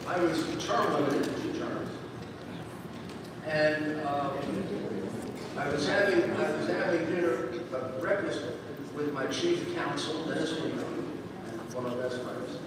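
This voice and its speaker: Brief: older male guest, slightly high voice, rambling anecdotes, distant mic in a reverberant hall with noise behind. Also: a little slow. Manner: rambling, frequent restarts and asides. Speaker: guest speaker. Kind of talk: anecdotal storytelling. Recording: echoey hall from far off, background noise.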